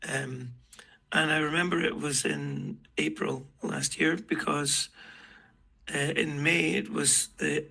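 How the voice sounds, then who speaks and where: Gravelly middle-aged man hosting. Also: on the high side, bright, forceful tone, low-quality recording. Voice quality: rough, gravelly voice. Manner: halting, with many fillers. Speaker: host. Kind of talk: casual group chat and voice-over.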